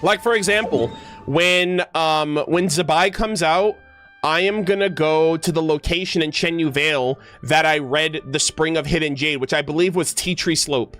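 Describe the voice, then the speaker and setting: Higher-pitched man, rambling casual streamer. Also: normal speed, soft background music. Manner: rambling, self-correcting, slangy, animated asides. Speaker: game streamer. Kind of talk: casual livestream chat with viewers.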